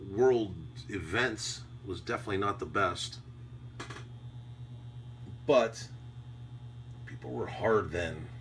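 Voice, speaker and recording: An American man, an amateur radio operator, rambling casually and nostalgically in a reflective, conversational monologue. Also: low-pitched. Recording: clearly noisy audio with hum.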